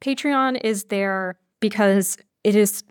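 A woman's voice: mid-range voice, takes it slowly, smooth, no echo, studio quality. A woman talking casually as a podcast guest about her creative work. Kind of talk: casual interview chat.

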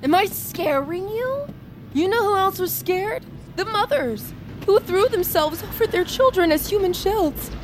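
A young woman actor playing a haunted, menacing army private in an audio drama, delivering a deliberate, intense monologue. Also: extremely high pitch, expressive, noisy background. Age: young adult. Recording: loud music bed behind.

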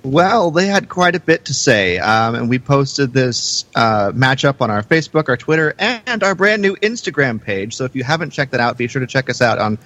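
Man hosting a podcast, halting delivery. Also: medium pitch, sing-song, fairly bright tone, dry recording. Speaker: podcast host. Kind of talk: casual podcast chat.

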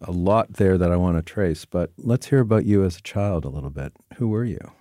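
A man hosting an interview podcast, reading a measured introduction and asking thoughtful questions. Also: sits low, mellow, no room sound, clean studio sound. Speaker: podcast host.

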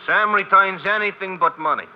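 An eager, gabby cabbie, played by a man in an old radio mystery. His voice is very high for a man, excitable and colloquial, on a dull vintage recording. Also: moderate pace, lightly acted. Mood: eager, chatty. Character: eager, gabby male cab driver and gambler. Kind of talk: 1950s radio drama acting.

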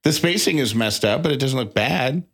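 Guy cohosting a podcast, casual chat, medium pitch, kinda halting, rewords stuff as he goes. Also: animated, a bit bright, husky. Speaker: podcast co-host.

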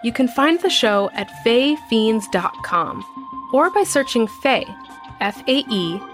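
A woman podcast host reading scripted promotional narration in a clear announcing style. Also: on the high side, sing-song, slow pace, over music.

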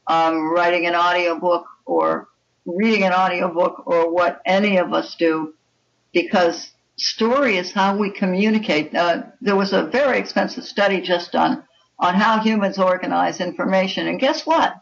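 Older woman, opinionated author in interview, gravelly fry, muffled and off-mic. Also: fairly low pitch, lively intonation, moderate pace. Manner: opinionated, emphatic. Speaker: author guest. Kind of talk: conversational interview. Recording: muffled, distant microphone.

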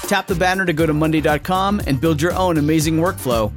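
A man's voice: fairly high pitch, fast talker, music in the background. A man delivering a scripted advertisement in a conversational style. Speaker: advertisement voice-over.

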